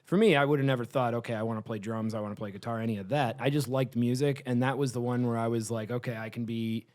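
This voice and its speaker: Chatty, animated male podcast host. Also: mid-range voice, fast. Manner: animated, swears freely, chatty with frequent laughs. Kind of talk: casual interview chat.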